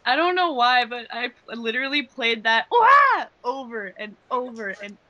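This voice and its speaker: Bright-voiced woman chatting on a commentary. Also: a little high, very animated, normal speed, no echo, highs cut off. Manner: informal, peppered with "like". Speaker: voice actress and editor on commentary. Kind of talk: casual commentary track chat.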